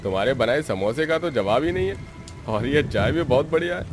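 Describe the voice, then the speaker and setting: A man's voice: mid-range voice, expressive, a little fast, over music, lightly acted. A man acting friendly, appreciative male characters in the dialogue of a moral story. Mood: pleased. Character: friendly, appreciative male railway customers and supportive husband.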